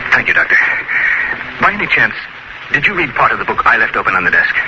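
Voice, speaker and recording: An actor playing a nervous, haunted gentleman scholar recounting his terror in agitated, dramatic old radio horror style. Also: medium pitch, big pitch swings, fast, lightly acted. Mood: guarded. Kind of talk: 1940s radio horror acting. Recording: noisy, dull old radio drama recording.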